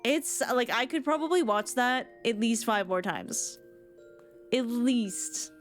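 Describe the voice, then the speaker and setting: A woman's voice: on the high side, slow. A woman game streamer giving casual reaction commentary on cutscenes, thinking aloud as she goes. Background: game music.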